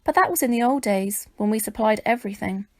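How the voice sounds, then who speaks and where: A woman voicing a brisk, bossy, teasing circus man in a children's storybook. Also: mid-range voice, no echo, studio quality, natural voice.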